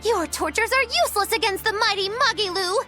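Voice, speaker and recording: A cheeky, pompous young witch in a video game, extremely high-pitched and swooping wildly in tone. She declaims with theatrical self-importance over clear background music. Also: animated, fairly quick, bright, forceful tone, exaggerated voice. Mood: mock-defiant.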